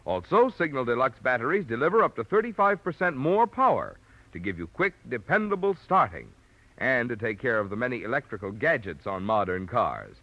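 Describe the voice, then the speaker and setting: A man's voice: medium pitch, very animated, a little fast, dry recording. A man with an American accent reading a scripted sponsor message as a polished, emphatic 1940s radio announcer. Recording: muffled old radio broadcast recording.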